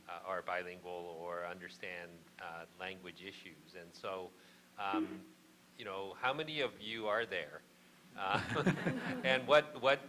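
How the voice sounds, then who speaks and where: A man on a panel asking a long question, hesitant and halting with many fillers. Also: low voice, noisy background.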